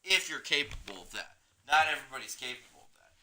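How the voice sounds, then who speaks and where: This is a Midwestern American man with a strikingly bright, forceful edge to his voice. He talks like a casual game streamer, rambling from point to point. There is an easy boastfulness in how he walks viewers through his play. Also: mid-range voice, expressive, fast, echoey room, slight background noise. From Missouri, United States. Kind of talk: casual live-stream commentary on a football video game.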